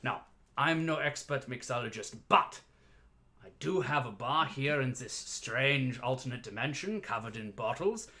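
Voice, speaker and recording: A man's voice: medium pitch, animated, measured pace, sharp tone. A man hosting a casual livestream as a playful bartender, chatting with theatrical showman patter. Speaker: livestream host mixing cocktails as "dungeon barkeep".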